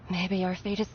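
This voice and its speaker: A woman playing a gentle, worried mother in a video game, speaking earnestly. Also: fairly low pitch, a little hiss or hum. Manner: earnest, sighing, pleading. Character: gentle, worried mother and doctor's old friend. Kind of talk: video game character acting. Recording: muffled game audio, music behind.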